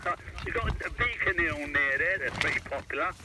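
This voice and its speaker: A man's voice: on the high side, expressive, fairly quick. A British man heard over CB radio, running a net with informal, rambling calls and chat. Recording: very noisy, distant CB radio reception.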